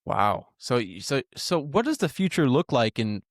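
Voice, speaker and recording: This is a man in his twenties with an average-pitched voice and a very dry recording. He hosts a casual interview. His questions come out informally and a little haltingly, as if he is assembling them while he speaks. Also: sing-song, dry recording. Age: 20s. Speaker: podcast host, interviewer.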